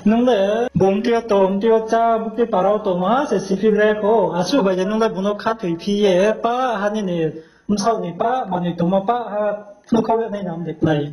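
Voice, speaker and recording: A man's voice: sits high, fast, dark tone, low-quality recording. A man talking informally in a recorded phone conversation.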